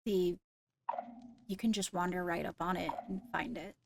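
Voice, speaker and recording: A woman speaking in a measured, deliberate way with formal phrasing during an improvised roleplay discussion. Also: fairly low pitch, steady tone, gravelly, background noise. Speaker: roleplay streamer playing a kingdom member.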